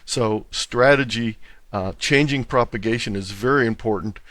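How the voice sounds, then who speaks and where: A man's voice: medium pitch, moderate pace, fairly bright tone, no echo. A man talking conversationally as an interview guest, explaining his hobby. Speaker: interview guest, club presenter and radio contester.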